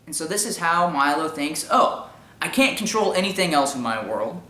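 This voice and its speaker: Guy with a higher voice doing opinion commentary, explains and argues his point, really echoey room. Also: big pitch swings, normal speed, sharp tone. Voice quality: high-pitched voice. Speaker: commentary show host.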